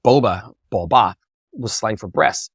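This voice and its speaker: Lively storytelling man, dry sound. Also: fairly low pitch, measured pace, husky, no echo. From United States. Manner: animated anecdotes with acted quotes. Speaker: interview guest, bubble tea entrepreneur. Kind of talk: casual storytelling in an interview.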